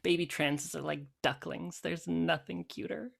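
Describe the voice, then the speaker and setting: Sardonic, low creaky-voiced woman, acted in a reading. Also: steady tone, measured pace, full character voice. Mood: catty, mocking. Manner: dry, sardonic, mock-sweet.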